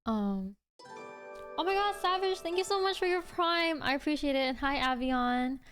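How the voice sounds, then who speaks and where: A woman's voice: sits high, expressive, normal speed, fairly bright tone, faint music behind. A woman in her twenties hosting a livestream, chatting casually with viewers in a rambling way full of "like". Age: 20s. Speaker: livestream host.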